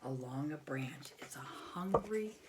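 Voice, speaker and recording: A woman's voice: sits low, lively intonation, measured pace, heavy background noise. An American woman in her fifties reads a picture book aloud to young children, expressively, with simple explanations and questions to the listener. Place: small bedroom at home, indoors.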